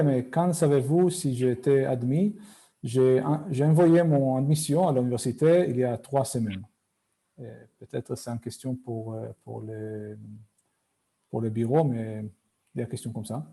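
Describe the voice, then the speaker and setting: A man's voice: medium pitch, moderate pace, mellow. A man moderating an online Q&A, reading questions out plainly. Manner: plain, reads questions aloud. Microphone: video call.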